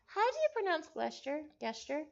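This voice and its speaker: Girly super high voice, woman reading a story out loud on stream, stumbles and repeats, kinda muffled audio. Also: wildly swooping pitch, normal speed, vocal fry. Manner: stumbles over words and repeats them. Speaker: visual novel reader, streamer. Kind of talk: live read-aloud of a story with casual asides.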